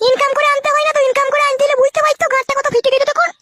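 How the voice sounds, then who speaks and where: A woman voicing a furious, sharp-tongued young village wife in a comic cartoon, shrill and cursing, with a rural West Bengal accent. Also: little pitch movement, rapid-fire, noisy background. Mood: complaining.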